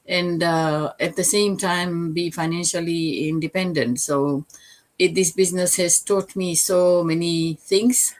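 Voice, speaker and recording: Older lady from fiji, 60s, lowish voice, business mentor chatting on a panel, kinda halting. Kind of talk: informal self-introduction in an online session.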